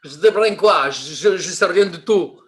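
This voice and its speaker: Male improv coach, unhurried delivery, jokey and full of fillers, heard over a video call. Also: high voice. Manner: slow, laughing, filler-heavy teasing. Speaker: improv teacher. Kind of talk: coaching a teen improv class.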